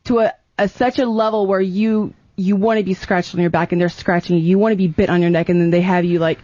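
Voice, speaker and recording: A woman's voice: lowish voice, fairly even intonation, quick pace, mellow. A woman talking casually and candidly as a guest in a debate, insistent and a little defensive, restarting her sentences. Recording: dull lo-fi dry studio audio.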